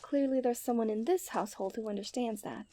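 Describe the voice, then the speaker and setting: Woman acting a mellow, genteel fairytale princess. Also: fairly high pitch, brisk, no echo, lightly acted. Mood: admiring, playful. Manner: formal, genteel fairytale phrasing with breathy sighs. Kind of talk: scripted romantic ASMR roleplay.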